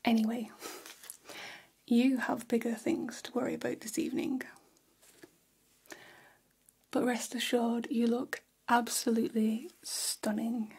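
Woman playing a slow-whispering gossipy vampire hairdresser. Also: on the high side, level tone, full character voice. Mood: flattering, soothing. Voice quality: breathy, soft whisper. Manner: slow, hushed, conspiratorial, indulgent. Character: gossipy, flattering female vampire hair stylist. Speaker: ASMR role-play performer. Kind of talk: whispered ASMR role-play. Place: small decorated indoor room.

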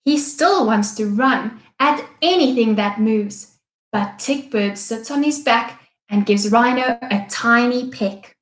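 A young woman reading a picture book aloud with deliberate, careful phrasing for small children. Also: on the high side. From South Africa. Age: young adult. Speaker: children's story reader, teacher. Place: small indoor classroom-style room. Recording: echoey room.

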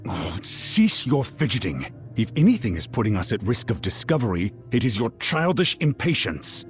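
Deep-voiced, stern dragon lord. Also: male voice, sing-song, faint music behind. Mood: stern, irritated. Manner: formal, commanding, measured. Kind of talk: fantasy game cutscene acting.

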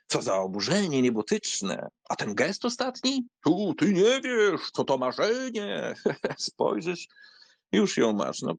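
An actor playing a carefree, mocking young count in a dramatised audiobook, with an ironic, theatrical way of talking. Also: male voice, mid-range voice, vocal fry, breathy edge, no echo, heavily acted. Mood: amused, mocking.

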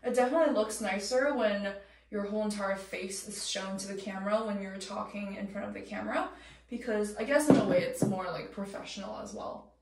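A woman's voice: medium pitch, brisk, darkish tone, far from the mic, slight background noise. A young woman whose first language is Cantonese, chatting casually and informally as a vlogger in a small washroom, recorded on a camera a step or two away. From Canada, moved there while growing up. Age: young adult. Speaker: TV host and vlogger. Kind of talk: casual vlog chat. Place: small home washroom, indoors. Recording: echoey small bathroom.

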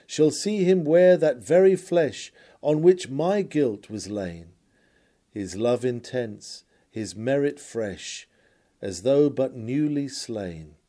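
A man reading a sermon aloud in a solemn, earnest, oratorical manner with dramatic pauses. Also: mid-range voice, expressive, warm tone. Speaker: sermon reader.

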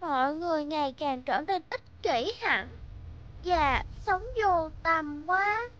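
Cartoon little girl, earnest, sweet, high-pitched, slightly muffled recording. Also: expressive, slow pace, a bit bright, exaggerated voice. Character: earnest, hopeful little daughter of hero family.

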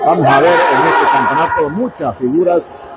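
Bolivian male futsal commentator over loud noise. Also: fairly high pitch, moderate pace, a loud crowd. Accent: eastern Bolivian Spanish. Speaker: radio sports commentator. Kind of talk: live futsal match commentary. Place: indoor coliseum sports court, from the stands. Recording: very noisy live sports hall. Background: loud ambient noise of a futsal match.

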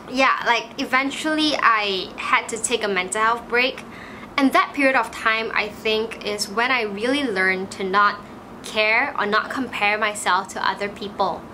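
Bright-voiced young Malaysian woman vlogging. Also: medium pitch, slight background noise, low-quality recording. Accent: Malaysian English. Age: 20s. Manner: chatty, frequent "like" fillers, animated. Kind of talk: casual storytelling to camera. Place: small room indoors.

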